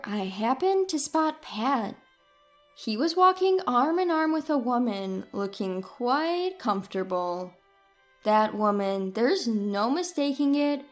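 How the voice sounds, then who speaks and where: A woman reading a scripted first-person story as its narrator. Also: sits high.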